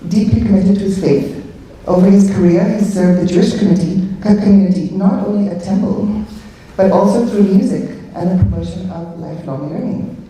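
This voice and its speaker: A woman's voice: mid-range voice, fairly quick, dark tone. Woman moderator in an echoey hall. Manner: hesitant, with many fillers. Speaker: panel moderator. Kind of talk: introducing panelists at a conference. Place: large conference hall. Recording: echoey hall, distant mic.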